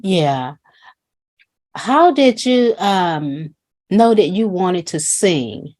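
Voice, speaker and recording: A woman's voice: fairly low pitch, expressive, drawn-out words, a little mellow. A woman hosting a talk show, interviewing a guest with open questions. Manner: asks open questions, brief backchannels.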